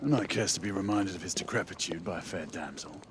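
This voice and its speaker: An actor playing an aging, courtly knight in a video game, with a dry, understated and formal manner. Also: male voice, deep voice, quick pace, slight background noise. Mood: wry banter. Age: older. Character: aging knight, wry, courtly, weary veteran. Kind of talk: video game cinematic acting.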